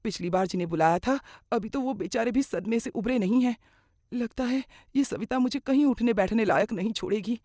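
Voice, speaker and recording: A woman's voice: fairly high pitch, a little fast, dark tone, no echo, pitched above the performer's own voice, lightly acted. A woman playing a middle-aged mother-in-law, kindly but grumbling and dramatic, in a moral cartoon story. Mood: exasperated.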